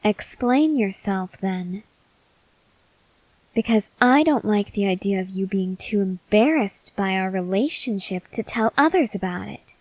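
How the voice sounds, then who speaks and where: Woman acting as possessive female vampire, calm, seductive, unhurried delivery, softly stern, close dry sound, ASMR roleplay. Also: medium pitch, no echo, poor audio. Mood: stern, jealous. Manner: slow, deliberate, softly stern, at times whispering.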